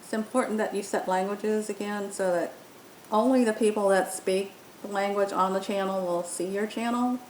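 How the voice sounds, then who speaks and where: A woman's voice: steady tone, normal speed, darkish tone. A woman presenting an app tutorial, explaining it step by step in an informal walkthrough style. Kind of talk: informal software walkthrough narration. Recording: roomy echo, faint rumbling background noise.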